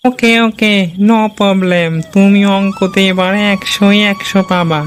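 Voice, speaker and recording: A woman's voice: medium pitch, fairly even intonation, measured pace, soft background music, lightly acted. A woman voicing an anxious forest ghost in a cartoon, pleading and eager to please. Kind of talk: cartoon character dialogue.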